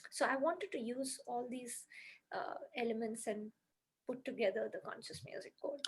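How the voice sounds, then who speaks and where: A woman's voice: a little high, normal speed, breathy edge. A woman on a podcast earnestly explaining her music healing method step by step, with many "you know"s.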